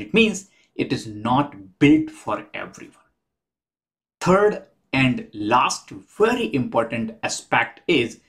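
Measured man explaining to camera. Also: mid-range voice. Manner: measured, methodical, counts points off one by one. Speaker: host. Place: small room at home.

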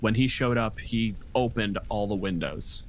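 A man's voice: lowish voice, unhurried, slight background noise. A man running a tabletop game as game master, narrating casually and swearing freely.

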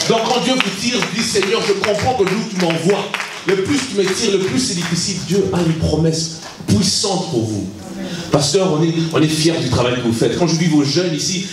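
A man in his thirties from Congo, preaching in halting French with many restarts, holding a handheld mic in a large church hall. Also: a little high, noisy background. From Congo (Kinshasa). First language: English is his stronger language. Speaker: guest preacher. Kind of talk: sermon to young people. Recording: echoey hall, mic heard from a distance.

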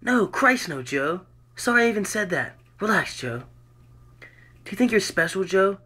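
A man's voice: high-pitched, big pitch swings, normal speed, sharp tone, voice pushed up, full character voice. A man voicing a probing doctor who questions his patient in a coaxing, patronizing way.

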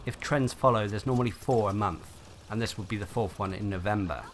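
A man's voice: on the low side, a little fast, slight background noise. A man hosting a gaming channel, narrating a scripted information video.

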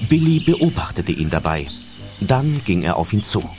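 A man's voice: deep voice, sing-song, normal speed, over music. A man reading scripted narration for a German audio drama.

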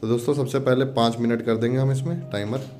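A man's voice: medium pitch, fairly even intonation, fast talker, a little mellow, soft background music. A man presenting an informal product demonstration, instructing viewers step by step and addressing them as friends. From India.

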